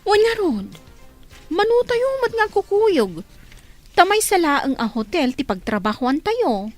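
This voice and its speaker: A woman's voice: extremely high pitch, big pitch swings, a little slow, soft background music, heavily acted. A young woman acting an eager female graduate in a radio drama, with an Ilocano Filipino accent.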